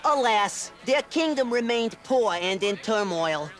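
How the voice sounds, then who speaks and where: A man's voice: sits high, lively intonation, measured pace, a bit bright, soft background music. A man voicing a brash, streetwise rat in a puppet comedy, cheeky and mock-grand as he banters and tells tales. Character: wisecracking streetwise male rat, brash and excitable.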